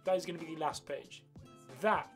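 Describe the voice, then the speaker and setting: A young man hosting an anime news vlog, giving excited fan commentary and pausing dramatically to stress key words. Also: a little high. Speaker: host of an anime news channel. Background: background music.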